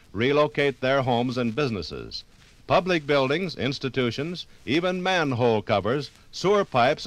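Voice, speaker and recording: Casting: male vintage radio narrator, mid-pitched, animated dramatic delivery for a 1950s documentary. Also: rough recording.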